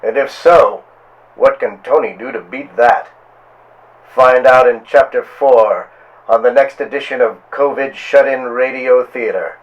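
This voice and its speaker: Guy narrating old pulp radio style, slow n dramatic, bit dull lo-fi sound. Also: mid-range voice. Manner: dramatic, portentous, deliberate pauses. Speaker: host and narrator.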